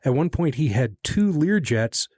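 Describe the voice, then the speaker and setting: A man's voice: a little high, sing-song, unhurried, darkish tone, dry recording. American guy doing a casual interview, blunt n folksy, slangy, dry studio sound. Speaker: podcast host and interviewer. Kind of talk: informal conversational interview.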